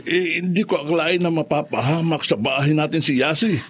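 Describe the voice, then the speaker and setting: An actor playing a concerned, sorrowful middle-aged father in a Filipino radio horror drama, speaking gravely. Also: fairly high pitch, fairly quick, lightly acted. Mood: shaken, grave.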